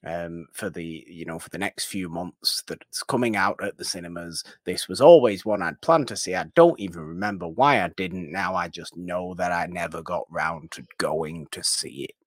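A man's voice: low voice, moderate pace, few pauses, dry recording, clean studio sound. A man co-hosting a podcast in casual film discussion, often repeating his words for emphasis as he goes. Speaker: podcast co-host.

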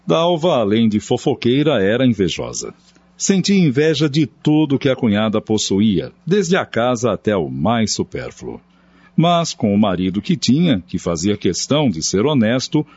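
Um homem brasileiro que narra uma radionovela, lendo um texto roteirizado. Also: male voice, fairly low pitch, darkish tone, breathy edge, no echo. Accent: Brazilian. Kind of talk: scripted radio drama narration. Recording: dull, lo-fi recording. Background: faint music.

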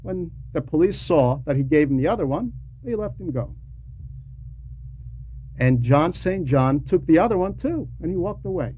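A man giving a homily to a class in a deliberate, didactic way, pausing between short phrases and repeating words for emphasis, on a dull, lo-fi recording. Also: fairly high pitch, expressive. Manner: didactic, poses rhetorical questions. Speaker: religious teacher reading a translated homily to a class. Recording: dull lo-fi recording with faint noise.

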